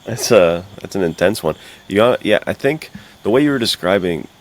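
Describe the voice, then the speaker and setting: Low-voiced young man in casual chat. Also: animated, moderate pace. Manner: slangy, informal, loosely rambling. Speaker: podcast co-host.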